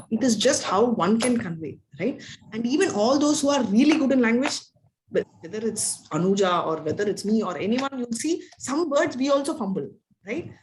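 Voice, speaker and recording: A woman's voice: a little high, a little hiss or hum, rough recording. Chatty woman teacher. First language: Telugu. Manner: chatty, rambling, often asks "right?". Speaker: spiritual teacher. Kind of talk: casual talk to an online class.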